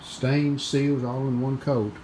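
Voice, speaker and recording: A man giving casual, plainspoken hands-on narration as the host of a repair video, unscripted and pausing mid-sentence. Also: mid-range voice, flat delivery, mellow, rough recording. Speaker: host of a radio restoration video channel. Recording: clear background noise, room ambience.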